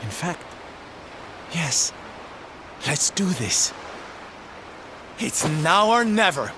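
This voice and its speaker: Flamboyant, expressive young circus showman. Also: a little high, extremely slow, noisy background, full character voice. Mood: reflective, resolute. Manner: theatrical, emotional, expressive. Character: flamboyant, sentimental young male circus performer and would-be knight. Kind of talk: video game cutscene voice acting. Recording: music behind the voice.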